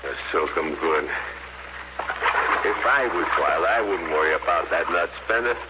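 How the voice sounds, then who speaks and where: A man's voice: sits low, normal speed, natural voice. An actor playing a crude, scornful, lazy space crewman, with coarse slangy American speech in old radio drama style. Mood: griping, scornful. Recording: muffled noisy old radio recording.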